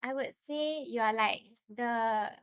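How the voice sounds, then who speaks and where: A woman's voice: fairly high pitch, slow pace, smooth, no echo. Effusive woman interviewer. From Singapore. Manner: effusive, gushing with many fillers. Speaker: host, fashion brand owner. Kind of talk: casual interview.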